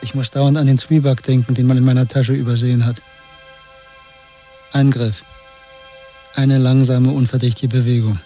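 Man as a dark-voiced, weary prisoner. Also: mid-range voice, little pitch movement, normal speed, pauses often, faint music behind, close to an everyday voice. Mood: detached, tense. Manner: terse, detached, in clipped fragments. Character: weary, subdued male political prisoner. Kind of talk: radio play acting, inner monologue and dialogue.

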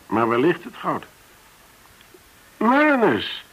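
A man's voice: a little high, wildly swooping pitch, takes it slowly, a bit bright, husky, full character voice, poor audio. An actor in a Dutch radio play playing a cautious, probing businessman, considering things with murmured acknowledgements. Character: businesslike male gold-buying client, cautious and probing.

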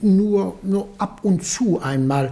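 An actor playing an elderly, hesitant, absent-minded English country priest in a German radio drama. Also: male voice, high-pitched, slow, mellow. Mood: earnest, rambling. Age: older. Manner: hesitant, rambling, repeats himself, absent-minded.

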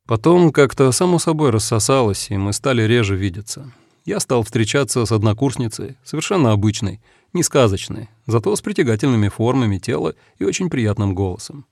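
Calm male storyteller, dry sound. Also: mid-range voice, vocal fry, no echo. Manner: calm, reflective, measured. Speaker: audiobook narrator. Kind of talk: scripted first-person story narration.

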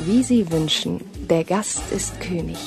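Polished casino hostess over music. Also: female voice, on the low side, unhurried, gravelly. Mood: smooth, inviting. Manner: polished, obliging, presentational. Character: courteous female casino hostess showing off gambling rooms. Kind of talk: radio drama acting.

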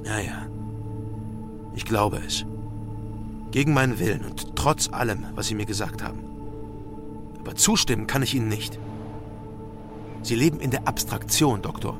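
An actor playing a stranded, insistent journalist in a radio drama, earnest and argumentative. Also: male voice, lowish voice, moderate pace, pauses often, natural voice. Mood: subdued, stubborn. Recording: music behind the voice. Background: music.

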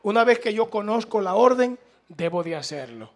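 A man's voice: sits high, very animated, moderate pace. A man with a Latin American Spanish accent preaching an impassioned, informal sermon, emphatic and repetitive, prodding his listeners to respond. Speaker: preacher taking questions from congregation.

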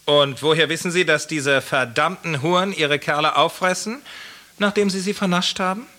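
A man's voice: on the high side, moderate pace, pushed and bright. An actor playing a brash young man in a radio drama, who asks pushy, coarse questions. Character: mayor's nephew, brash, prying young man.

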